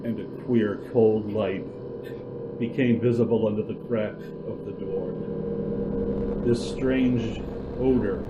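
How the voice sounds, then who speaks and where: Male narrator for radio suspense, mid-pitched, dramatic scripted read, clearly noisy sound. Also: highs cut off.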